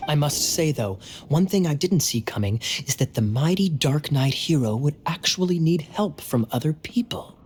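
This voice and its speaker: A man playing a suave young knight in a video game, talking in a wry, teasing, smooth way. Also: on the high side, animated, normal speed, hardly any pauses, a little mellow, close to an everyday voice. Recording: faint background ambience noise.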